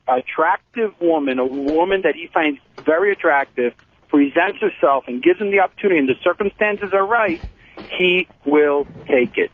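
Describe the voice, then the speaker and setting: A man's voice: a little high, normal speed. A man calling in to a radio talk show to give his opinion. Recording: dull radio audio over faint music.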